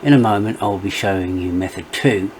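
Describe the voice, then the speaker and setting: A middle-aged man narrating a step-by-step software tutorial as its presenter. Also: deep voice, brisk, a little hiss or hum, rough recording.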